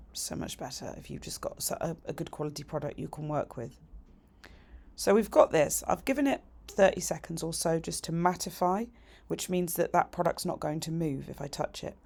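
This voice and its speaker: Croaky, gravelly woman. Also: low-pitched, darkish tone. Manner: chatty, practical, cheerful asides. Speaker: nail technician teaching a tutorial. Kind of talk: tutorial voiceover.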